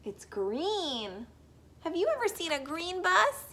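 Young woman, teacher read-aloud, high voice, slow pace, expressive questioning, faint background noise. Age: young adult. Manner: animated, expressive, addressing small children.

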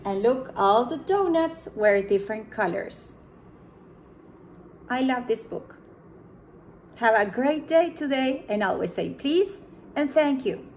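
A woman's voice: on the high side, slight background noise. A woman in her thirties, a pre-kindergarten teacher, reading a picture book aloud at home, with gasps and questions for young children. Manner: slow, expressive storybook reading with gasps and questions to kids. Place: room at home with window blinds.

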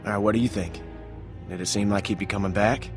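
A man playing a level-headed, brisk leader of a survivor group in a video game, casual and decisive. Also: on the low side, steady tone, soft background music, lightly acted. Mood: calming.

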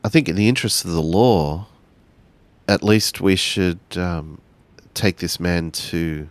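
A man playing a sober, law-minded samurai in a roleplay game, measured and formal. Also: low-pitched. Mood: measured, cautioning.

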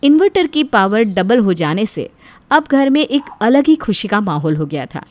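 A woman's voice: mid-range voice, big pitch swings, moderate pace, dry recording. A woman narrator reading a scripted family story.

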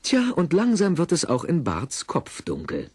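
A man with a husky voice narrating an audio drama in a wry, playful storytelling manner. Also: on the high side, huge pitch swings, measured pace, dry recording.

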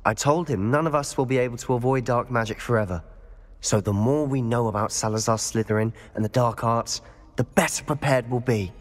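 A man's voice: medium pitch, brisk, natural voice. Man playing a bold schoolboy in a reverberant hall. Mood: frustrated, determined. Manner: confident, conspiratorial. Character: determined, bold teenage male student of dark magic. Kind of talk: video game cutscene acting.

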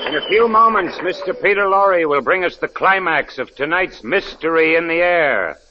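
A man's voice: fairly high pitch, expressive, moderate pace, noisy background. A man announcing and narrating a 1940s radio drama in a scripted, dramatic style. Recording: dull vintage radio broadcast with music behind.

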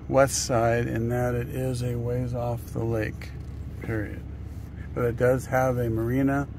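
A man in his fifties, a travel vlogger, giving a plain, matter-of-fact narration. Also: medium pitch. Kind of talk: casual campground tour narration. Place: moving vehicle. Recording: faint car noise behind.

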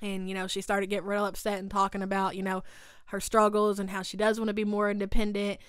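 Chatty woman with a clear voice. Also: medium pitch, monotone, quick pace, dry recording, studio quality. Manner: chatty and gossipy, lots of "like" and "y'all". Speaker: YouTube recap host. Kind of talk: casual recap chat. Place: home studio. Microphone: desk mic beside her.

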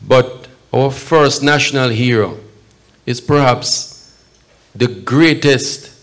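A middle-aged man with a Jamaican accent giving a measured, emphatic public speech at a podium microphone in an event hall. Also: mid-range voice, fairly bright tone. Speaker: consul general, guest speaker at podium. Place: event hall, at a podium before a seated audience.

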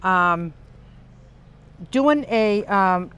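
A woman's voice: mid-range voice, lively intonation, drawn-out words, a bit bright, muffled recording, a little hiss or hum. An older woman giving a casual, chatty how-to tour as a vlogger, explaining things as she works with her hands. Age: older, senior. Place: outdoors at the open side door of a camper van. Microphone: small clip-on lavalier mic.

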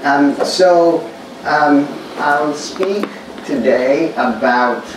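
A man from Greece with a Greek accent, giving a thoughtful academic lecture with many pauses and fillers, recorded in a large lecture hall from a distant mic. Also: on the high side. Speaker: workshop speaker. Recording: echoey hall recorded from far off, noisy.

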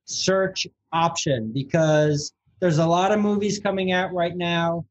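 A man's voice: fairly high pitch, fairly even intonation, unhurried, highs cut off. A man chatting as a podcast guest over a video call, enthusiastic and promotional. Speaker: film director, guest. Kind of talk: casual chat.

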